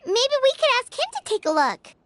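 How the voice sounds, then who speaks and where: A woman voicing a tiny, childlike, excitable fairy companion in a video game, with a chirpy manner. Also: very high voice, fairly quick, heavily acted. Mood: curious, chirpy. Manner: chirpy, refers to self in third person.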